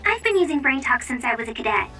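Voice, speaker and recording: High-voiced reserved young soldier. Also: female voice, very animated, fast, distant mic, over music. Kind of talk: video game cutscene acting.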